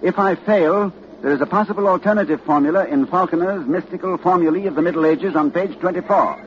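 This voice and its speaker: A man acting in a 1940s radio drama as a grave, anxious learned doctor, urgent and dramatic, with halting delivery. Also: high voice, lightly acted. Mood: solemn, dread. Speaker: narrator and lead actor. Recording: muffled, noisy old radio recording.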